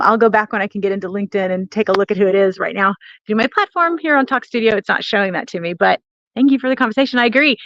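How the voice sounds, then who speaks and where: A woman's voice: medium pitch, no room sound, lo-fi sound. Emphatic woman coaching on a live stream. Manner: emphatic, repeats phrases for stress, addresses listeners directly. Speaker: host of a networking community's live stream. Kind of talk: live-streamed motivational coaching talk to viewers.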